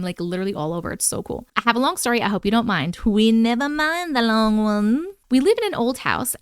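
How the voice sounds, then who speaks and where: A woman in her thirties reading viewer-submitted scary stories aloud in a bedroom, breaking off for chatty, animated comments. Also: fairly high pitch, no room sound, studio quality. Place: bedroom, indoors. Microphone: large studio mic on boom in front of her.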